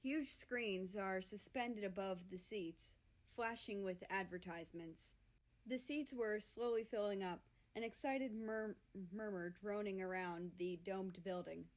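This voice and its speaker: A woman's voice: mid-range voice, measured pace. A woman reading a story aloud as an amateur narrator. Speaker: amateur fan-fiction reader.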